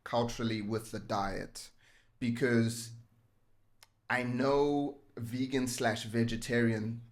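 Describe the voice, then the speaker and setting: Man, podcast host, mid-pitched voice, thoughtful questions, casual chat. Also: fairly even intonation, takes it slowly. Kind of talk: casual interview conversation.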